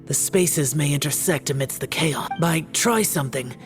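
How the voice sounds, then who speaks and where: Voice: mid-range voice, normal speed, over music, close to an everyday voice. An actor playing a stoic, brooding male immortal warrior in an anime-style video game, terse, grave and formal. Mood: guarded, grave.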